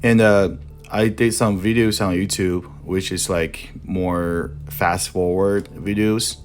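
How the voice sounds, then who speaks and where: A young man with a Chinese accent, a native Mandarin speaker, narrating a voice-over that explains his tattoo design process. He talks haltingly and searches for words in his second language. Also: sits low, fairly even intonation. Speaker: tattoo artist and art instructor.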